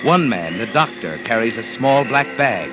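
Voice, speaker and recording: An actor playing an ominous, all-knowing narrator of a 1950s radio mystery, speaking in a knowing, sardonic, deliberate way. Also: male voice, medium pitch, animated, lightly acted. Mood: hushed, grave. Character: ominous all-knowing male narrator addressing a guilty man. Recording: muffled vintage radio recording with music behind.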